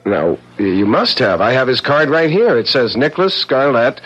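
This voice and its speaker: A man's voice: mid-range voice, lively intonation, moderate pace, a bit bright, husky, highs cut off. An actor playing an eager, stubborn young man with a scheme, in a 1970s radio drama, probing and a little hesitant. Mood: puzzled, insistent.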